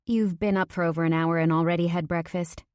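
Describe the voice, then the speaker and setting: Casting: female narrator as young best friend, playful, nosy and teasing, lively lifts in pitch, dry audiobook sound. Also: a little fast, clear, resonant tone, no echo, natural voice. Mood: teasing, excited.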